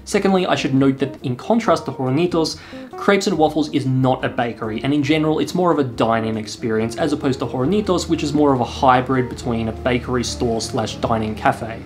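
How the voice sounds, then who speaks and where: A man's voice: medium pitch, a little fast, music in the background. A young man with a chatty, self-deprecating manner, presenting a scripted travel review while seated indoors. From Australia. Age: young adult. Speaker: travel vlogger. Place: indoor room with brick wall and couch.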